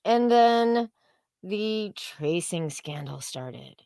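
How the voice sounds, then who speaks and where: A woman with a fairly low voice talks very slowly in a dry, close recording. She co-hosts a casual commentary stream and sounds wry and sarcastic, often leaving thoughts hanging in fragments. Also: lively intonation, gravelly, warm tone, no echo. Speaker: co-host of a comics commentary show.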